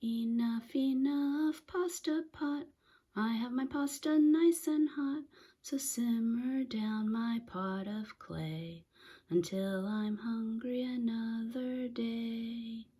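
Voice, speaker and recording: Storybook old witch, female, read by a woman narrator: commanding, instructive, husky edge, incantatory. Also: fairly high pitch, fairly even intonation, takes it slowly, mellow, voice pushed up. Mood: singsong incantation. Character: old village witch, singing spells, bossy and firm.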